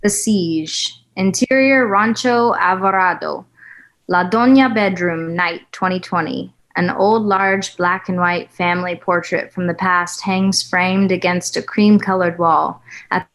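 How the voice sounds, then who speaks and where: A woman's voice: on the low side, fairly even intonation, a little slow, a bit bright. A woman reading stage directions steadily at a table read.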